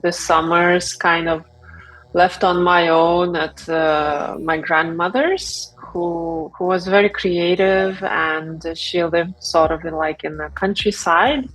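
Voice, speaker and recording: A woman from Lithuania speaking English, talking in a reflective, unhurried way with frequent fillers and soft laughs as an interview guest. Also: lowish voice, poor audio. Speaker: guest, brand founder. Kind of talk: casual interview talk.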